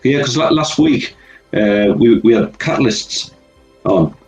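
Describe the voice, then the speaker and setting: A man co-hosting a music interview, asking questions in a halting way with many fillers. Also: mid-range voice, animated, darkish tone, rough recording. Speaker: co-host. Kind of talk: casual interview chat.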